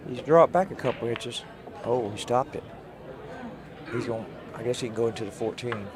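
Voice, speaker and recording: A man giving folksy, chatty color commentary, his voice slightly hoarse from cheering. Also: mid-range voice, moderate pace, a crowd in the background. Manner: folksy, chatty, thinks aloud. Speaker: pool co-commentator.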